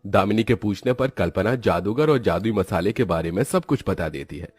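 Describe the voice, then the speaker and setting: A man's voice: lowish voice, expressive, normal speed, darkish tone, breathy edge, no room sound, soft background music. A man reading scripted storybook narration for a cartoon.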